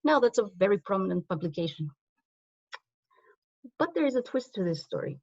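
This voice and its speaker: A woman's voice: medium pitch, very animated, moderate pace, a little mellow, dry recording, lo-fi sound. A woman from Greece, a native Greek speaker, giving a conference talk in a conversational way, with frequent ums and self-corrections.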